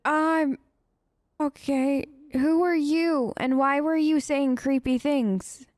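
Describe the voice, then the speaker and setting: A woman hosts a game playthrough in a fairly high voice, recorded dry and close. She chats casually in role, playful and often hesitant as she reacts. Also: takes it slowly, a little mellow, no room sound. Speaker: gamer, let's play host. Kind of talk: role-play gameplay commentary, casual chat.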